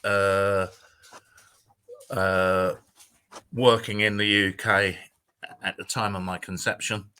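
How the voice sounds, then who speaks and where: An older man talks as a guest in a casual interview. His voice is fairly low but bright, and he pauses and restarts often, with a dry sense of humour. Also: flat delivery, clear, resonant tone. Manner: hesitant, many ums and restarts, dry humour.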